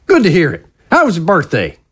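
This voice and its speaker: Glad-handing political insider, high-pitched and very animated, folksy, smooth, for audio drama. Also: male voice, a little fast, heavily acted. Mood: chummy, insinuating. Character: slick, glad-handing male conservative politician.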